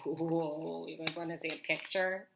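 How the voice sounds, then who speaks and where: A woman teacher reading a storybook aloud to children, expressive, with chatty asides. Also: sits low, unhurried, vocal fry, background noise. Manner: animated, with chatty asides to young listeners.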